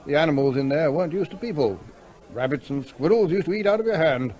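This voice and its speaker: An actor playing a breezy, unbothered middle-aged country landowner in a radio drama, dry and offhand in manner. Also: male voice, on the high side, lively intonation, fast talker, a little mellow, natural voice. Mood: offhand, dismissive. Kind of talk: naturalistic radio drama acting.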